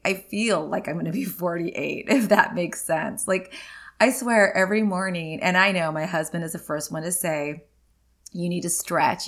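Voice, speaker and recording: A woman in her late forties hosts a solo podcast in an easy, confessional chat. Her voice sits a little low for a woman, and she talks openly, filling her sentences with ums and likes as she goes. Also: vocal fry, fairly bright tone. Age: 40s. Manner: chatty and candid, many ums and likes. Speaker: podcast host.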